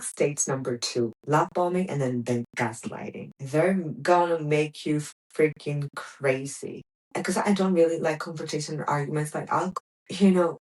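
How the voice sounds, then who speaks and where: A woman's voice: sits low, a little mellow, low-quality recording. A young woman from Greece, hosting a podcast and telling a personal story in a candid, emphatic way, repeating words for stress. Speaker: podcast host.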